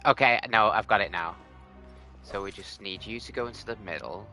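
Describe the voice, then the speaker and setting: A man's voice: on the low side, brisk, pushed and bright, faint music behind. A man doing casual live gameplay commentary, talking to himself as he puzzles things out. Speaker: game streamer.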